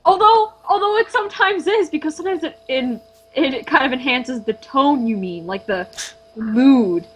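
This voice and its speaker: A young woman in casual conversation over a game, chatty and full of fillers. Her voice sits high. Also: animated, moderate pace, a bit bright, a little hiss or hum, low-quality recording. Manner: chatty, many fillers, laughs easily. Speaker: let's play co-host. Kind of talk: casual gaming commentary.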